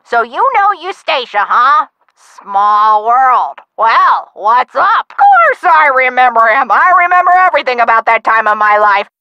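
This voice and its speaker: A woman playing a feisty, sharp-tongued elderly woman who tells theatrical stories of her youth in a video game. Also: on the high side, very animated, measured pace, smooth, no room sound, highs cut off. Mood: nostalgic, animated. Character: feisty, sassy elderly woman, nostalgic for the twenties. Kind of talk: video game character acting.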